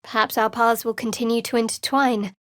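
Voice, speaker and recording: A woman's voice: fairly high pitch, steady tone, dry recording, lightly acted. Woman voicing a guarded, dry-witted young cleric, close-miked. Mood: cool, wry. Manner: dry, measured, wry. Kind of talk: fantasy video game voice acting.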